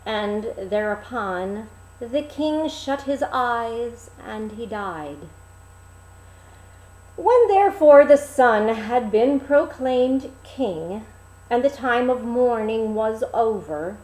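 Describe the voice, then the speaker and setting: Slow, very expressive woman storyteller. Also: a little high, echoey room, slight background noise, poor audio. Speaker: storyteller, reader. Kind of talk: dramatic fairy-tale read-aloud.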